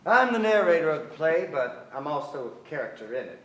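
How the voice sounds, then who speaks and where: An actor playing a wry, poetic man narrating his family's story in a theatrical stage monologue with deliberate pauses. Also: a little high, big pitch swings. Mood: wry, wistful. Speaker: actor in a staged play reading. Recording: echoey theater stage, noticeable noise.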